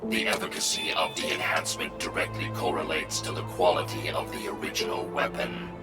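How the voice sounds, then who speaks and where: A man voicing a calm, analytical AI machine in a video game, with stiff, formal, precise diction and synthetic processing. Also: a bass voice, brisk. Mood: analytical, detached. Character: robotic AI wolf machine, calm, analytical, literal-minded. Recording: echoing processed voice under loud music.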